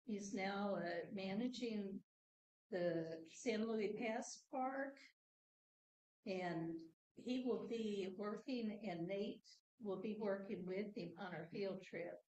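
A woman's voice: medium pitch, takes it slowly, darkish tone. Lady doing class announcements, chatty and loose, echoey room. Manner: chatty, laughs easily, loose phrasing. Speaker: training coordinator introducing the speaker. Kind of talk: casual announcements. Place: classroom, indoors.

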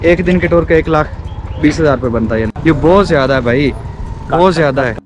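A man's voice: fairly high pitch, a little fast, background noise. A man in his twenties with a Pakistani accent, chatting informally to camera as a travel vlogger outdoors. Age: 20s. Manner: informal, chatty, addresses viewers as brother. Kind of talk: casual vlog narration to camera. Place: outdoors on streets and in gardens. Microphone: handheld action camera at arm's length.

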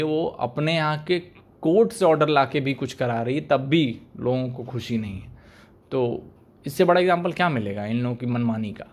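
Young man giving pointed commentary at a desk mic. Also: medium pitch. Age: young adult. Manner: pointed, argumentative, rhetorical questions. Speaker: YouTube commentator. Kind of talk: opinion commentary to camera. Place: small indoor room, plain wall. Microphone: desk microphone in front.

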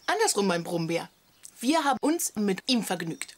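An actress playing a teasing, self-assured wife in a comic radio play, dry and assertive. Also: female voice, medium pitch, very animated, normal speed, natural voice. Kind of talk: radio play comedy dialogue. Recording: loud background noise.

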